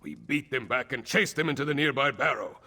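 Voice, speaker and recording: Gruff aging jarl for a game, sardonic, raspy, big reverby hall. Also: male voice, mid-range voice, expressive, fairly quick, pushed and bright, full character voice. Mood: blunt. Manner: blunt, sardonic, commanding. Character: gruff, proud, weary aging male jarl of a northern hold. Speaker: jarl of a northern hold. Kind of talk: video game character acting.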